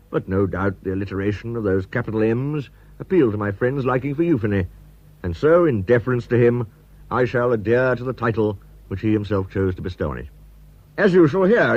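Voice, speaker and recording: Male actor as British storyteller for radio mystery: husky, genial, wry, ornate and measured phrasing. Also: medium pitch, muffled recording, natural voice. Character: genial, literary male storyteller, fond of his friend. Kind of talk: scripted first-person story narration.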